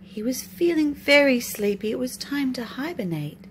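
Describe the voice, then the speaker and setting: A woman's voice: high voice, animated, moderate pace. A woman narrating a children's storybook expressively as a storytime reader. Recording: noisy home recording.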